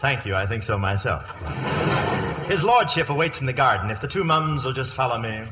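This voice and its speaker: An actor playing a cheeky, conceited ham posing as a butler in an old radio comedy, theatrical and wisecracking. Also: male voice, mid-range voice, expressive, brisk, pushed and bright. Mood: mock-formal. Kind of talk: 1940s-1950s radio comedy acting. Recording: old radio broadcast with faint hiss.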